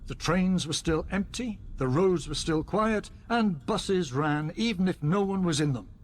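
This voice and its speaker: A man's voice: sits high, lively intonation, a little slow, slight background noise. A man playing a loyal, resigned company employee in a radio play, terse and matter-of-fact. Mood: bleak, resigned.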